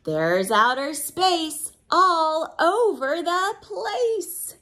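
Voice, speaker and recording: A woman reading a picture book aloud to children, expressive and exclamatory, pausing to explain things simply and put questions to young listeners. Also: extremely high pitch. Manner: expressive, exclamatory, with audible gasps of wonder.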